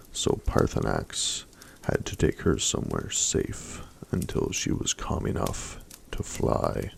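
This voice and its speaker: Deep gravelly whispering man. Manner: mostly whispered, hushed and slow. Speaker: ASMR storyteller. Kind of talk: soft-spoken ASMR story reading. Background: faint campfire crackle.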